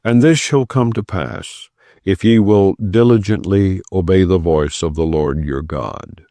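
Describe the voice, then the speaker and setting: A man narrating an audiobook in a solemn, non-dramatized scripture reading style, with measured, deliberate phrasing and pauses between clauses. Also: low voice, dark tone, no echo.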